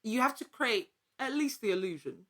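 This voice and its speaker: A woman speaking as a podcast guest in a casual interview, telling anecdotes in an animated, conversational way and acting out little quotes. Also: a little high, moderate pace. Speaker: podcast guest, actor and producer in actual play.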